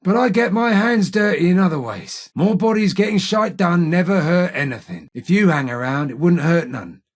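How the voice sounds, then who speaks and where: An actor playing a cocky, menacing street-gang gunman in a video game, with a raspy voice, a working-class English accent and a taunting, slangy manner. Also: sits high, expressive, normal speed. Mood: gruff, friendly. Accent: British, working-class English. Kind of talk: video game character voice lines, combat barks.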